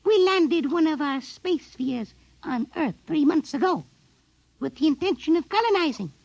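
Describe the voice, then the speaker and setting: An actress in a 1950s radio drama voicing a tiny alien doll commander, haughty, precise and coldly menacing. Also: female voice, high-pitched, lively intonation, moderate pace, creaky, darkish tone, no room sound. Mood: cold, imperious.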